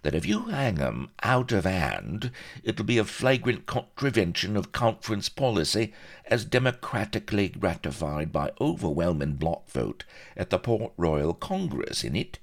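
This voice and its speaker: A tiny Welsh pirate lays down the rules with prim, officious insistence. His voice is pitched up and swings widely, in the manner of a fussy shop steward in a comic storybook. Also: big pitch swings, moderate pace, full character voice. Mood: insistent, passionate. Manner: officious, argumentative, rule-quoting.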